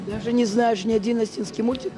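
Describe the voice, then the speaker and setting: A woman's voice: fairly high pitch, fairly even intonation, quick pace. A woman doing informal vox-pop interviews, asking persistent, coaxing questions. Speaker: street interviewer. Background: music.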